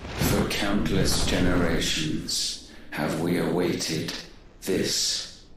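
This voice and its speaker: A man's voice: deep voice, fairly even intonation, drawn-out words, noisy background. A man playing a haughty god in a video game, speaking in a grave, formal, imperious way and demanding penance. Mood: solemn, imperious. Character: haughty godlike villain, solemn male deity demanding penance. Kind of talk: dramatic video game voice acting. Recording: heavy echo, distant, loud music behind. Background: loud music.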